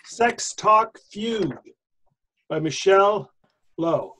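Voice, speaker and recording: A woman's voice: mid-range voice, lively intonation, drawn-out words, a bit bright, exaggerated voice. A woman reading announcements and small scripted parts for a readers theatre. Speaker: announcer and bit-part reader.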